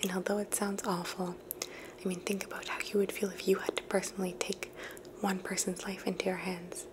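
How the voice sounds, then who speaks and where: A woman whispers softly and without voice, drifting through her thoughts in a slow, reflective way. She is hosting an ASMR video, and her voice sits at a middle pitch. A constant hiss runs underneath her words. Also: flat delivery. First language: English. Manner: soft unvoiced whisper, musing and thoughtful. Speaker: ASMR host.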